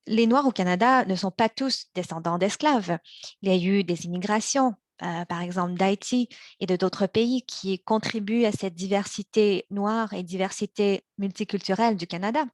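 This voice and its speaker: Young woman, born in china, raised in montreal, measured practice speech, dry close sound. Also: mid-range voice, dry recording. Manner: measured, slows on key words, small restarts. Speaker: practice speaker for interpreters.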